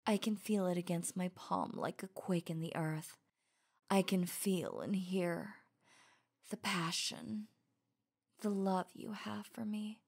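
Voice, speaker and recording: Slow, sultry werewolf huntress acted by a woman. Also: lowish voice, fairly even intonation, a little mellow. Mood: tender, devoted. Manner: slow, breathy, intimate, often dropping to whispers. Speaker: audio roleplay voice actor. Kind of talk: ASMR roleplay.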